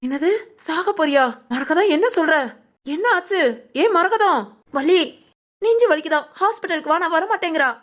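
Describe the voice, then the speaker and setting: A high, fussy comic cook frets in quick, anxious bursts, as a woman plays her. She bosses and worries in equal measure in a domestic comedy sketch. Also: lively intonation, fairly bright tone. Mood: flustered. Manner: rapid anxious fretting.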